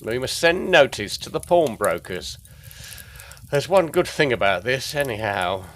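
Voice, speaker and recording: An actor playing a plain-spoken, gruff police detective sergeant of old London, halting and deliberate, in a gothic audio drama. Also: male voice, mid-range voice, sing-song, a bit bright. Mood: matter-of-fact. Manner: deliberate, halting, working man's turns of phrase.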